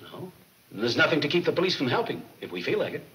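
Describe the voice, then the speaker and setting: Bright, gruff 1940s police chief. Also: male voice, a little high, sing-song, fast talker. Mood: concerned. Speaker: film actor. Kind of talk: 1940s movie serial acting. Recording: noisy old 1940s film soundtrack.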